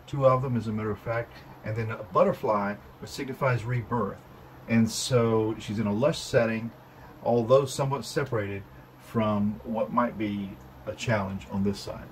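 A man giving an unscripted tarot reading at a table indoors, halting and full of fillers and restarts. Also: lowish voice, slight background noise, rough recording. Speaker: tarot reader.

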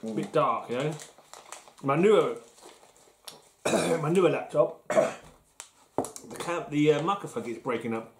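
A middle-aged man chats loosely, swearing now and then. His voice sits a little high for a man. The room echoes, and steady noise hums underneath. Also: slow, long pauses. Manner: laid-back, swearing, laughing often. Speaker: beer tasting show co-host. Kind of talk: casual chat. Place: home kitchen, indoors. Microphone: fixed camera across the table. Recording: echoey kitchen with background noise.

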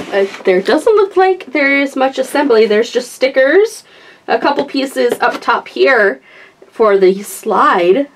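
A woman's voice: fairly high pitch, slight background noise. A woman hosting a casual toy review, talking through it in an enthusiastic, gushing manner. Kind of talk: casual unboxing and demonstration chat.

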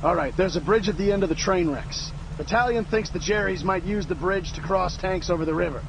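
An actor playing a stern, no-nonsense American army sergeant with a firm, authoritative manner in a war video game. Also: male voice, high voice, a little fast, noisy background. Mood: brisk briefing.

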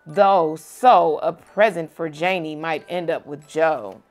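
A young woman reading a picture book aloud to a child at home, gentle and expressive. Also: deep voice, takes it slowly. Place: home bedroom, indoors.

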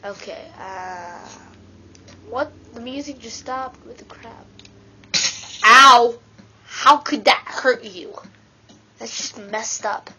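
A woman with a stuffy nose gives casual, rambling commentary while she plays a video game, excitedly talking to herself. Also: on the high side, slow, pauses often, a little hiss or hum. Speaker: let's play gamer. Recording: dull lo-fi with game music.

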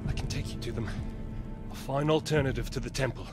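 An actor playing a nervous, excitable, superstitious soldier in a video game. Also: male voice, mid-range voice, brisk, close to an everyday voice. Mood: anxious, placating. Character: jittery, superstitious soldier, eager to save himself. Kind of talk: video game cutscene acting. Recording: music underneath.

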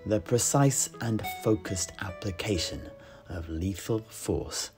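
A man telling a fantasy tale in character, theatrical and measured, with dramatic pauses and stresses. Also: lowish voice. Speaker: storyteller, in-character tavern keeper. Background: plucked-string music.

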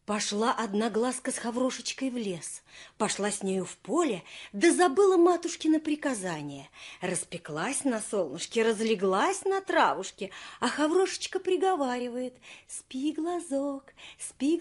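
A woman narrating a folk fairy tale as an expressive storyteller. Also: a little high, moderate pace.

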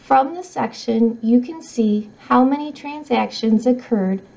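A middle-aged woman reads scripted tutorial narration in a measured, instructional way. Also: a little high, gravelly, a little mellow. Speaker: software trainer. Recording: slightly dull, muffled audio.

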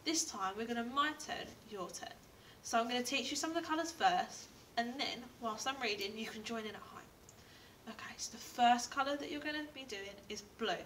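Young female primary teacher, bright delivery, clear simple prompts for children, recorded indoors on a distant camera mic. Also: fairly high pitch, fairly quick. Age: young adult. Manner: simple step-by-step instructions with repeated prompts. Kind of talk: teaching signs and reading a picture book to young children. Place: indoors in a school reading corner. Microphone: camera a few feet away. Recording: distant mic with background room noise.

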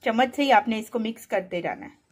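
A woman's voice: fairly high pitch, brisk. A woman hosting a home cooking tutorial, talking viewers through each step in a chatty, patient way from her kitchen counter. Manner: chatty, instructive, repeats steps patiently. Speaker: cooking channel host.

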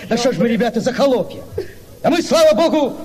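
An actor playing a bold, mischievous young Cossack, exclamatory and teasing in theatrical radio drama style. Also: male voice, very high voice, lively intonation, fast talker, darkish tone, a little hiss or hum. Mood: rousing, mischievous. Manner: exclamatory, teasing, hot-headed.

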